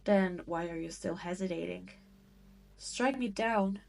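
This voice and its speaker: A woman's voice: lowish voice, unhurried, creaky, a little mellow. A woman playing a cruel, seductive demon queen in an audio roleplay, taunting and condescending as she toys with her prey. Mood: amused, taunting. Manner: taunting, haughty, condescending, teasing. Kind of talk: scripted roleplay audio drama monologue.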